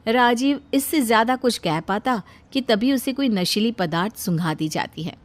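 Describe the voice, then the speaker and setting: A woman's voice: a little high, moderate pace. A woman narrator reading a scripted cartoon tale in storytelling style.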